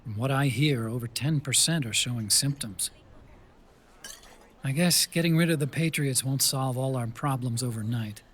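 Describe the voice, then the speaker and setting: A man's voice: mid-range voice, normal speed, lightly acted. An actor playing a curious, wary male comrade in a military video game cutscene, plain-spoken and questioning. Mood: curious, easygoing.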